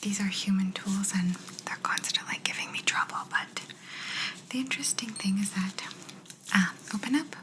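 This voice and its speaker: A woman playing a polite, curious alien examiner in an ASMR roleplay, speaking in a soft, breathy whisper with a gentle, clinical, faintly bemused manner. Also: mid-range voice, normal speed, close to an everyday voice. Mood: soothing, clinical.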